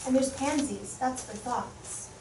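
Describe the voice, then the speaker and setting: A woman's voice: high voice, slow pace, background noise. A woman acting a young noblewoman driven mad by grief, drifting between speech and snatches of song, recorded from far off in an echoey hall. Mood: distracted. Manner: wandering, sings snatches of song. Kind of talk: stage acting of verse drama.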